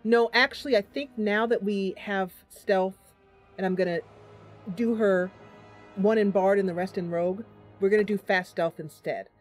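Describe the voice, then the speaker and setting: A woman with a mid-pitched voice hosts a casual game stream. She thinks out loud as she works through her choices and chats to viewers. Soft music plays behind her. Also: fairly even intonation, moderate pace. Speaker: game streamer, host of the channel. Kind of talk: casual live gameplay commentary, chatting with viewers.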